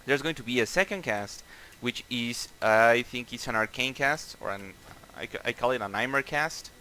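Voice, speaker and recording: Man, Mexican accent, bright forceful tone, gaming raid leader on headset, loose and sweary. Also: mid-range voice, expressive, moderate pace, slight background noise, rough recording. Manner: casual, profane, many fillers and restarts. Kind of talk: explaining boss tactics over voice chat.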